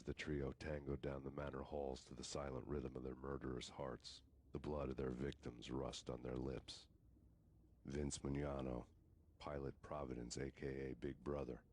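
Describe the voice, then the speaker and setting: An actor playing a brooding hard-boiled avenger with an American accent, narrating his noir story in a grim, measured deadpan. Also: a bass voice. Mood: grim, wry. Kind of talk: scripted noir game narration. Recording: music behind the voice.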